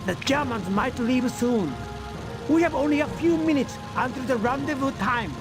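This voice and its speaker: An actor playing an urgent, anxious submarine officer in a dramatic war game. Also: male voice, very high voice, animated, moderate pace. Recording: music behind the voice.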